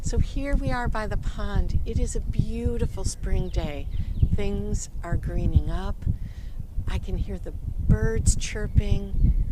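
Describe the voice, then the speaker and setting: A woman reading a picture book aloud to children as a school librarian, adding simple explanations as she goes. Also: mid-range voice. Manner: simple questions and explanations addressed to young children. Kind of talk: storytime read-aloud for children with explanatory asides. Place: outdoors beside a pond. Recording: very noisy outdoor recording with clicks.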